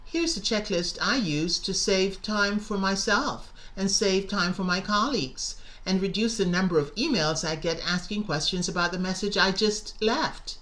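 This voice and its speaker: A woman's voice: medium pitch, moderate pace, hardly any pauses, fairly bright tone, low-quality recording. A middle-aged woman teacher narrating a scripted lesson, enunciating carefully and stressing key words. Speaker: English teacher and presenter.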